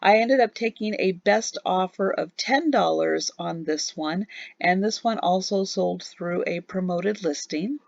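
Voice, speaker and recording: A woman reselling online, narrating a relaxed screen-recorded recap of what sold, halting with many ums and a tiring voice. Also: lowish voice, gravelly, no echo, highs cut off. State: losing her voice. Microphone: voice-over on a screen recording.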